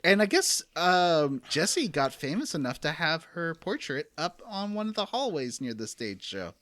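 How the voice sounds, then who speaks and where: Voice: mid-range voice, big pitch swings, normal speed, fairly bright tone, dry recording. A podcast co-host chatting casually about video games in an informal, slightly hesitant way that can trail off. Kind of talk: casual gaming podcast chat.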